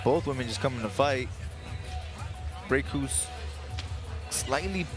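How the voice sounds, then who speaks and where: Man, boxing color commentator, mid-pitched voice, measured and analytical, music behind. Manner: measured, analytical, repeats key phrases. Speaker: color commentator, former boxer.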